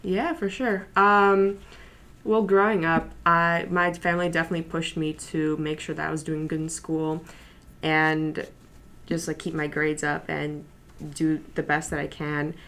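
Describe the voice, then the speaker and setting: High school girl, Polish first language, low voice, relaxed and chatty, talks about herself in an interview. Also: unhurried. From New York City, USA. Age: high school senior. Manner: relaxed, chatty, frequent fillers and soft laughs. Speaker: interview guest, student. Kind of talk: casual interview.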